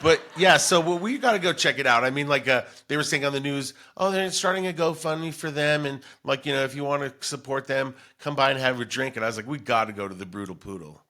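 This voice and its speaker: Bright-voiced man, chatty podcast storyteller. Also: mid-range voice, fast, few pauses. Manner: rambling storytelling, acting out bits of dialogue. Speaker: podcast co-host. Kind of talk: casual comedic chat.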